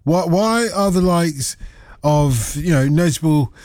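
A man's voice: fairly high pitch, animated, takes it slowly, darkish tone, no echo, clean studio sound. A man hosting a radio phone-in, chatting casually, teasing his callers and cutting in over them.

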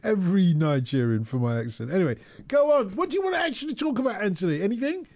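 A man hosting a radio phone-in, teasing his co-hosts and callers in jokey, quick back-and-forth banter. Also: sits high, big pitch swings, a little mellow, no echo, clean studio sound. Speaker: radio show host.

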